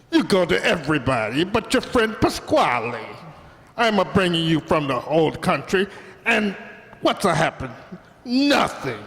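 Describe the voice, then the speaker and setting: A man's voice: high-pitched, gravelly, a bit bright, in a large hall, heavily acted. A man playing a pushy, scheming Italian immigrant restaurant owner in an old-time radio comedy, exclaiming and wheedling in broken English with an Italian accent. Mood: scolding, teasing.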